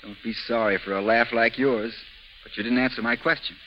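Actor as a bantering patient on crackly old radio. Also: male voice, mid-range voice, steady tone, fairly quick, natural voice. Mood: playful. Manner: bantering, wry. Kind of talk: 1930s radio drama acting. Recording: muffled, noisy 1930s radio recording, crackly hiss.

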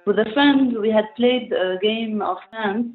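A woman with a French accent translates answers into English, pausing often and searching for her words. She sounds thin and tinny over an internet call. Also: fairly high pitch. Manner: hesitant, pausing with fillers.

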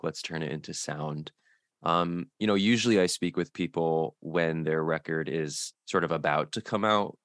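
A man's voice: a bass voice, no echo, studio quality. A man hosting a casual interview, asking rambling, hesitant questions with light laughs. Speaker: podcast host.

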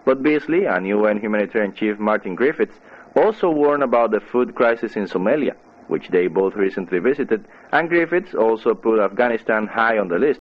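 Male newsreader, low pitch, scripted radio delivery, dull lo-fi shortwave sound. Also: lively intonation, fairly quick. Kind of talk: scripted news reading. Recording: muffled shortwave radio broadcast.

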